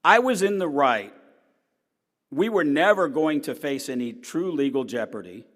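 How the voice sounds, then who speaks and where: A man's voice: on the high side, animated, moderate pace. Earnest middle-aged man in a reverberant hall. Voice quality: loud, strong voice. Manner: earnest storytelling, swinging between emphatic and quiet. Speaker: newspaper columnist giving a talk. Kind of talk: personal lecture to an audience. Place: large hall.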